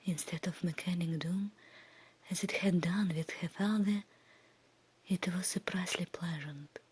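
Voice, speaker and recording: A woman reading a fairy tale as ASMR in a soft, breathy, soothing whisper. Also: lowish voice, fairly even intonation. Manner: slow, hushed, soothing delivery. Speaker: ASMR storyteller.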